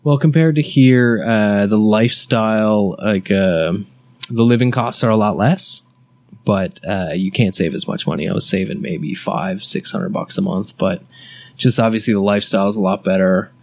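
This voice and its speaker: A young man from Canada chatting casually as an interview guest, relaxed and halting with many fillers. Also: on the low side. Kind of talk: casual chat.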